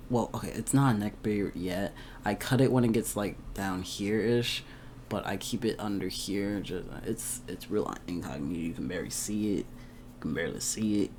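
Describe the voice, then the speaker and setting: A young man chatting casually to his camera at home, laughing often and getting animated at times. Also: fairly low pitch, a little hiss or hum. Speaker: vlogger. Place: indoors at home.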